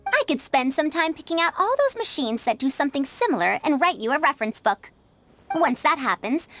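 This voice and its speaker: A scholarly game mentor with a high, very lively voice. She explains things precisely and formally, self-assured and encouraging, with music behind her. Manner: instructive, precise, formal wording. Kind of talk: scripted video game dialogue.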